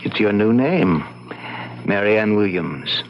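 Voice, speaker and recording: An actor playing a calm, secretive husband in an old-time radio drama, deliberate and ominous. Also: male voice, lowish voice. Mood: eerie calm. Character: eerily calm, secretive husband explaining their deaths. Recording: muffled old radio recording, faint hum.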